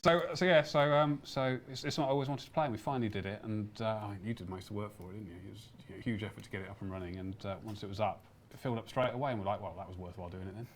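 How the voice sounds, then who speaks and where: A man chatting casually as a game developer, telling work stories in an animated way and acting out what people said. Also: on the low side, rapid-fire, hardly any pauses, slight background noise. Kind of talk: casual chat, recounting work memories. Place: live stream room in an office.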